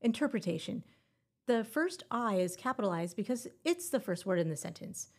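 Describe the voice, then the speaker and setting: A woman's voice: medium pitch, animated, moderate pace. A woman hosting a teacher-exam prep podcast, talking in a playful, encouraging way with little puns. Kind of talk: scripted educational podcast.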